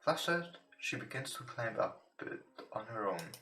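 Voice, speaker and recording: A man who is not a native English speaker, reading a visual novel aloud with casual commentary in a somewhat halting way. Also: mid-range voice, sing-song, pushed and bright. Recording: roomy, echoing home recording. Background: game music.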